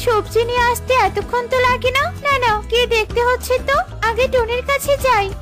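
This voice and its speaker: A woman's voice: very high-pitched, steady tone, a little fast. A woman voicing a small, anxious, caring female bird in a children's cartoon, earnest and pleading. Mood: worried. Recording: music playing behind the voice.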